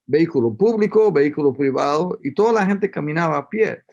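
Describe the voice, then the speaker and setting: A man's voice: on the high side, animated, fairly quick, vocal fry, darkish tone, muffled recording. A middle-aged man from Costa Rica, a panel guest reminiscing in a reflective discussion, with hesitant restarts.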